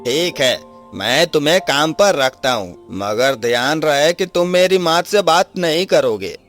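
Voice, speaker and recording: A man's voice: on the high side, animated, measured pace, a bit bright, faint music behind. A man playing a cruel, arrogant rich merchant in a cartoon moral story, barking his words with contempt and threat. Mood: stern, threatening.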